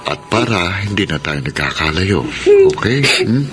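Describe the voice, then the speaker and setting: A man's voice: sits low, expressive, moderate pace, background noise. An actor playing a devoted young seaman fiancé in a Filipino radio drama, soothing and earnest.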